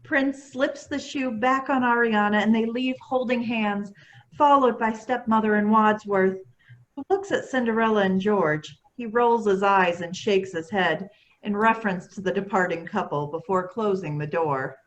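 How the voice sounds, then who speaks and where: A woman's voice: medium pitch, moderate pace, rough recording. A woman reading stage directions as a scripted narrator.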